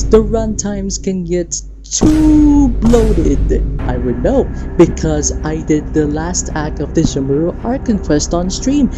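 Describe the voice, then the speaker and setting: A man hosting a gaming reaction video, mixing scripted commentary with casual chat in a theatrical, playful fan manner. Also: high voice. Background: music behind the voice.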